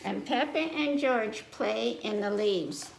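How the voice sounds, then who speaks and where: An American woman reading a picture book aloud to children, speaking simply and pausing to check in with her young listeners. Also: a little high, gravelly. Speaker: children's librarian, story time host. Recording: echoey room with faint noise.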